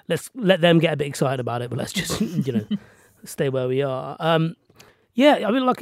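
A man's voice: medium pitch, a little mellow, dry recording, studio quality. A man from England with an English accent, co-hosting a football podcast in casual, jokey chat.

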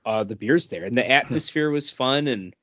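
A man's voice: mid-range voice, lively intonation, normal speed, a bit bright, dry recording. A man co-hosting a podcast in a casual, conversational chat. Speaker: podcast co-host. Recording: dull, lo-fi, dry audio.

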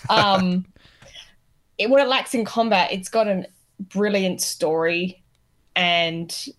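A woman's voice: medium pitch, fairly even intonation, takes it slowly, a bit bright. A woman talking informally as a panellist on a podcast, sharing her impressions. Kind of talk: casual chat.

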